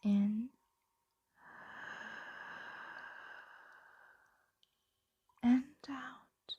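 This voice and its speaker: A woman voicing a possessive, doting female dragon in an ASMR roleplay, soothing and teasing in a breathy, intimate whisper. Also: on the high side, fairly even intonation, very slow, dark tone, no room sound, highs cut off, heavily acted.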